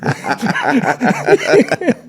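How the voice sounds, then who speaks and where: An older man from Hong Kong giving an oral history interview, speaking haltingly with frequent repeated words and laughs. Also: very high voice, wildly swooping pitch, husky.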